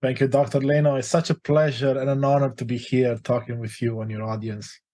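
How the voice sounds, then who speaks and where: A man's voice: medium pitch, little pitch movement, fairly quick, mellow. A forty-year-old man from Romania, a life coach telling his life story in an interview, earnest and open. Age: 40s.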